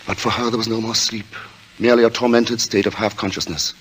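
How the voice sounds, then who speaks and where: A man narrating a suspense story in 1940s radio style, grave and deliberate, with dramatic pauses. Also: on the low side, level tone, a bit bright, a little hiss or hum, lightly acted, poor audio. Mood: ominous, tense. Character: grave storyteller of a creeping murder mystery.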